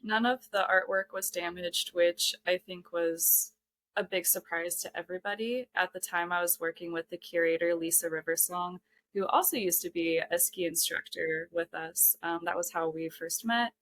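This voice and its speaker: A woman's voice: on the low side, normal speed, a bit bright, no room sound. A young woman, a podcast guest, talking earnestly in a casual chat, filling her speech with "like" and "um". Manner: earnest, heavy use of "like" and "um". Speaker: podcast guest, ski lodge worker.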